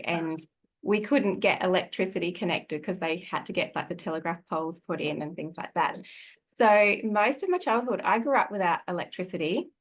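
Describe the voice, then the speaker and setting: Reflective Australian woman in her forties. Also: on the low side. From Australia (country New South Wales). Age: 40s. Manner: reflective, laughs often, many fillers. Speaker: guest, energy healer. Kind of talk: casual conversational storytelling.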